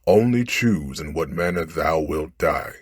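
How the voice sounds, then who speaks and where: A menacing storybook genie, played by a man, speaks low and very husky. He makes stern, commanding pronouncements in archaic language, unhurried and close in a dry recording. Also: animated, no echo, exaggerated voice. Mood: stern, impatient. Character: stern, ungrateful, menacing genie freed from long imprisonment. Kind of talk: storybook character voice.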